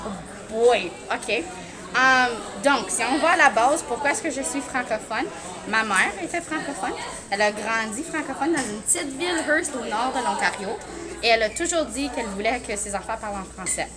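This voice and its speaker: Woman interviewee, fairly high voice, casual, crowd talking in the background. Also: moderate pace. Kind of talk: casual chat with English slang. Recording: clear crowd chatter behind.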